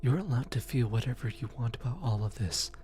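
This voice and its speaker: A man playing a gentle, apologetic demon who comforts lost souls in an ASMR roleplay, speaking softly and hesitantly. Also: medium pitch, animated, fairly quick, faint music behind, natural voice. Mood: comforting, indignant. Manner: soft, soothing, hesitant with stammers. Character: gentle, apologetic male demon soul collector comforting the newly dead. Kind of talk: ASMR roleplay audio drama.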